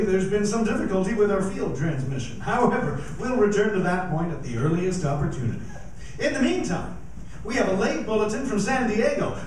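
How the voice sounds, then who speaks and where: A man acting as a formal radio news announcer under pressure in a live radio drama. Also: high voice. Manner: formal, theatrical, stammering when flustered. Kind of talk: old-time radio news announcing, acted. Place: live stage performance in a performing arts center. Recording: distant mic, echoey theater, faint noise.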